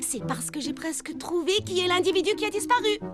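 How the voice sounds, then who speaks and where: A woman's voice: very high voice, lively intonation, a little fast. A woman playing a bookish, self-assured amateur girl detective in a children's cartoon, with a pompous, dramatic delivery. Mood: self-assured, inquisitive. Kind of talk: children's cartoon dub acting. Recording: music behind the voice.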